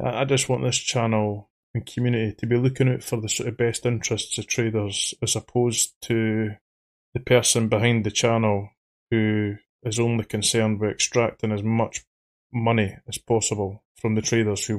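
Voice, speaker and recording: A man with an Edinburgh Scottish accent, hosting a trading channel in a faceless voice-over, talking haltingly and repeating his words. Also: on the low side. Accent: Edinburgh Scottish, toned down. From Scotland. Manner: halting, repeats words, says he sounds monotone. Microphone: studio microphone.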